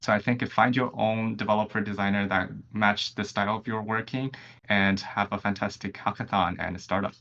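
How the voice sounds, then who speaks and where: A man's voice: fairly low pitch, fairly even intonation, moderate pace, bright, forceful tone, highs cut off. A man, a startup designer, giving earnest advice hesitantly with many fillers over a video call. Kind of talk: casual advice.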